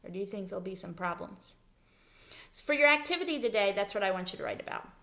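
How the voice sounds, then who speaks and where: A woman's voice: mid-range voice, animated, quick pace, a bit bright. Woman teacher explaining to young kids. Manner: explanatory, repeats and emphasizes key words, checks with "right?". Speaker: elementary school teacher. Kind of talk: read-aloud lesson for children.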